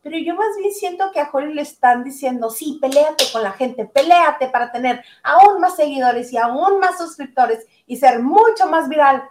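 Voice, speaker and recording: A woman's voice: sits high, animated, normal speed, hardly any pauses, reverberant, background noise. A woman guest chatting casually about celebrity gossip, rambling with fillers. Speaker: guest commentator.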